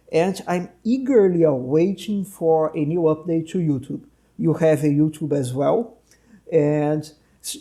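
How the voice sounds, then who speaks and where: Male doctor-host, Portuguese native, talkative and digressive, mellow tone. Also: a little high. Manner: talkative, digressive, friendly laughs. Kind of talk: conversational podcast interview.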